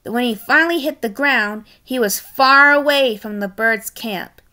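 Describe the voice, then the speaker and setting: A woman reading a children's picture book aloud expressively and deliberately, with chatty asides of her own. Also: a little high. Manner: expressive, deliberate, adds playful commentary. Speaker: storybook reader.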